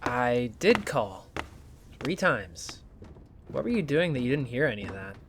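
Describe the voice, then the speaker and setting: An animated, pushy college student played by a male actor in an audio drama. He banters and gets indignant in a mid-range voice, over faint background ambience. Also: medium pitch, measured pace, background noise. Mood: insistent. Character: pushy, teasing, expressive young college student friend. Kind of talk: naturalistic audio drama acting.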